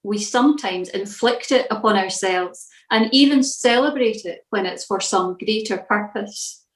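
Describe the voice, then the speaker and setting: A woman reading a scripted church devotional in a measured, reflective manner. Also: mid-range voice, roomy sound.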